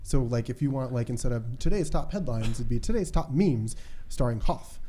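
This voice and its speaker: A man's voice: mid-range voice, expressive, moderate pace, dark tone, slight background noise. A young adult American man hosting a casual podcast in a conversational way. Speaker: podcast host.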